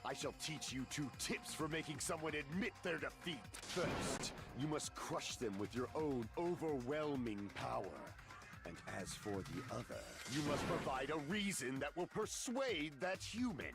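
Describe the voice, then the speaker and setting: An actor playing a pompous, theatrical young self-styled dark overlord in an anime video game, declaiming grandly. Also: male voice, a little high, lively intonation, moderate pace. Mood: scornful, smug. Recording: loud game music behind voice.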